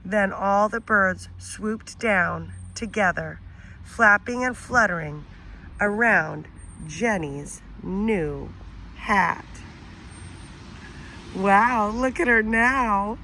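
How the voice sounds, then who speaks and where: A woman teacher reading a children's picture book aloud, expressive and pausing to ask the listener questions. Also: medium pitch, a little hiss or hum, poor audio. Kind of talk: children's read-aloud story.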